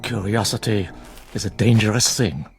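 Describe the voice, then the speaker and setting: An actor playing a stern, evasive religious brother in a television drama, speaking in a formal, measured, commanding way. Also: male voice, on the low side, vocal fry, soft background music. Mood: ominous. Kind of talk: dramatic television-style acting.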